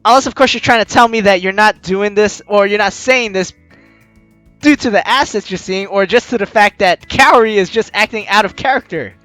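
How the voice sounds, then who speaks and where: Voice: medium pitch, normal speed, a bit bright, no room sound. A let's player giving jokey, teasing commentary and reading a game's story aloud, with game music behind. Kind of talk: let's play commentary and read-aloud narration.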